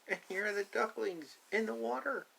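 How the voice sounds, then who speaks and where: A man's voice: high voice, moderate pace, gravelly, sharp tone, husky, poor audio. A man reading a picture book aloud to young children, explaining simply with repeated asides. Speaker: storybook reader.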